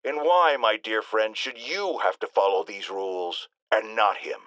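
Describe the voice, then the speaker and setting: A man's voice: fairly low pitch, a little slow, fairly bright tone, no room sound. An American man playing a pompous, self-righteous older talk radio host in a video game, indignant and emphatic. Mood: smug. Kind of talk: theatrical radio talk show rant.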